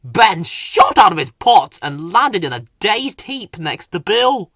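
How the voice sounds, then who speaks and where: A man reading a children's story as narrator, in a dramatic, expressive scripted delivery. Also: high voice, slow pace, no room sound, studio quality.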